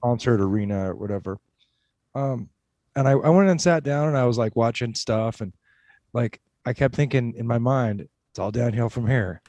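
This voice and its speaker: A man co-hosting a podcast, telling a personal story haltingly with many fillers. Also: medium pitch, dark tone, no echo. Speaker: podcast co-host. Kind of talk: casual podcast talk.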